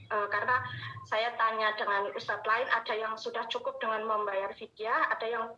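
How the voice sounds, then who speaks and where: A woman's voice: on the high side, fairly even intonation, normal speed, pushed and bright. A woman respectfully putting questions to a religious teacher in a polite, deferential way. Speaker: audience member asking the teacher questions. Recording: dull telephone-like online call audio.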